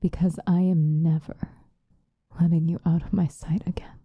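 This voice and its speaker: A woman playing an obsessive, possessive lovesick woman in an intimate, whispered ASMR roleplay, with a breathy voice. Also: fairly low pitch, little pitch movement, no room sound, dull audio. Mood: possessive, resigned. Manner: slow, intimate, pleading.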